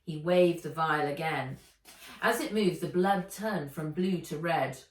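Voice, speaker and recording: A woman narrating a children's adventure book aloud in an expressive, dramatic and deliberate way. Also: sits low. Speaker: author reading own book aloud. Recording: echoey room, distant microphone.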